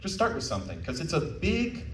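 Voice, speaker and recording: A man's voice: a little high, wildly swooping pitch, a little fast. A roomy, distant recording of a man giving an informal talk. He is frank and encouraging, chatting to his listeners in a conversational way, with faint noise behind him. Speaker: panel speaker. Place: convention panel room.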